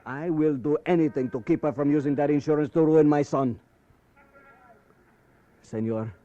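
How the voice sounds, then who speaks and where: A man playing a proud, desperate middle-aged father with a Mexican accent in a 1950s radio drama, speaking in halting, florid English. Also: fairly high pitch, warm tone, lightly acted. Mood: humble pleading. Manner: halting, florid, impassioned foreign-English phrasing. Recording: dry, dull vintage radio recording.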